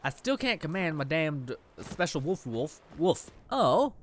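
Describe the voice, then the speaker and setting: An eighteen-year-old man giving rambling, casual let's play commentary, his voice a little raspy after eating. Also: a little high, very animated, a little slow, a little hiss or hum. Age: teens. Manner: rambling, chatty, lots of fillers. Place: indoor room.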